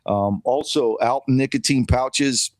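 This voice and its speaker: Laid-back male co-host, mid-pitched, casual plugs, no room sound. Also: dry recording. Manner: easygoing, says bro and man, quick jokes. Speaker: podcast co-host. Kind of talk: casual podcast chat, sponsor shout-outs.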